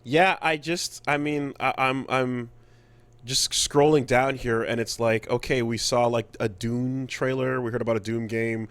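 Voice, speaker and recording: A man's voice: mid-range voice, steady tone, unhurried, fairly bright tone, clean tone. A man co-hosting a podcast, chatting and commenting informally with plenty of swearing. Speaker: podcast co-host. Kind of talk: casual podcast chat, commentary.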